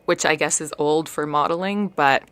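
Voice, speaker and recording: A woman's voice: low voice, moderate pace, fairly bright tone, clean tone. A woman in her thirties, from Canada and Spain, chatting as an interview guest with frequent "um" fillers. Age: 30s. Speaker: guest, model and actor. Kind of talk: casual interview chat.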